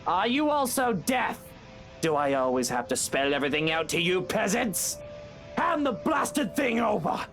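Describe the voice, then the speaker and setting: An actor with a British accent playing an arrogant, foul-mouthed wealthy husband in a video game, sneering and theatrical. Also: male voice, high-pitched, sing-song, moderate pace, gravelly, fairly bright tone, exaggerated voice. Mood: shouting rage. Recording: background music.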